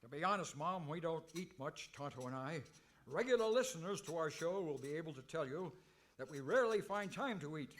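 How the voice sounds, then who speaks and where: A man's voice: on the high side, expressive, brisk, fairly bright tone. A man playing an earnest, hapless cowboy hero in a radio comedy, a put-upon and defensive grown son. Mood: sheepish, defensive. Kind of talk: old-time radio comedy acting.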